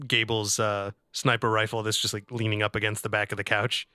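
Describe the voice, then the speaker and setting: Man, tabletop player, medium pitch, crisp bright tone, casual, sweary, dry recording. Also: little pitch movement, a little fast, no echo. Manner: colloquial, sweary. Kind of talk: casual improvised roleplay chat.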